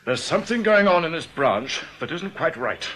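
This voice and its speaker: A man's voice: fairly high pitch, big pitch swings, moderate pace, fairly bright tone, slight background noise, low-quality recording. A man playing an anxious, stubborn English banker in a radio drama, prim and formal in manner. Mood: indignant. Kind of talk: British radio drama acting.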